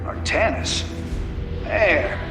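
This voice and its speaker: A wry frontier space commander who talks in a folksy drawl. His voice sits a bit high and sounds roomy and distant, over loud game music. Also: animated, drawn-out words, full character voice.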